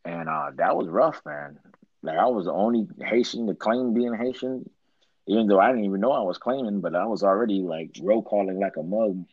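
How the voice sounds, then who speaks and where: Deep husky man, slow slangy storyteller. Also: no echo, phone-quality sound. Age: 30s. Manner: laid-back, slangy, keeps asking "you know what I mean". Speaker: podcast guest. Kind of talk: casual storytelling chat.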